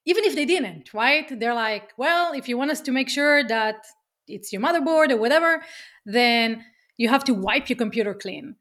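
A woman with an Israeli accent, a podcast guest talking business in a lively, emphatic way, often tagging lines with "right?". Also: high voice, moderate pace. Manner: energetic, emphatic, keeps asking "right?", swears casually. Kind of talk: casual interview chat.

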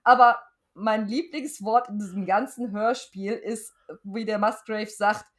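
A woman's voice: mid-range voice, steady tone, unhurried. A woman co-hosting a casual podcast review, talking in a colloquial, blunt and opinionated way. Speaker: podcast co-host discussing an audio drama.

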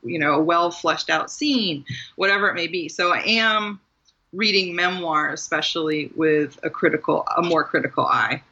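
A woman's voice: deep voice, moderate pace, bright, forceful tone, highs cut off. An American woman talking casually and reflectively as the guest in an interview about her own experience. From Maryland, US. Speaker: guest author and writing teacher.

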